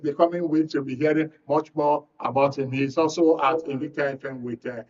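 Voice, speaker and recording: A man's voice: a little high, fairly even intonation, fairly quick, distant mic. A Nigerian man hosting a live talk show on radio and TV. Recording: dull audio, away from the mic.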